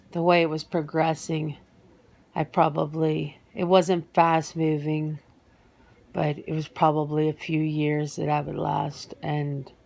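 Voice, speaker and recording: A woman playing a guarded, earnest werewolf answering interview questions in an ASMR roleplay, speaking haltingly and matter-of-factly. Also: deep voice, vocal fry, a little mellow, exaggerated voice. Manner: hesitant, matter-of-fact, halting with pauses.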